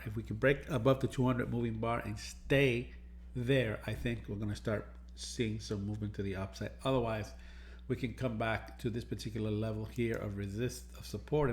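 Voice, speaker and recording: A man's voice: fairly low pitch, slight background noise. A man hosting a market analysis lesson, explaining charts in an explanatory way and repeating phrases for emphasis. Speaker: trading educator and market analyst host. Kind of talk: premarket market analysis over charts, semi-scripted explanatory talk.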